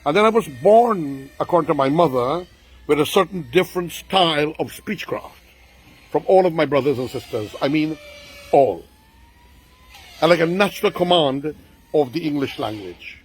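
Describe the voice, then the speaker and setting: A man's voice: a little high, expressive, moderate pace. A man, speaking to viewers in a grand, ornate monologue with lots of repetition. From Guyana. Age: 60s. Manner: grandiloquent, ornate word choice, repeats for emphasis. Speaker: online show host.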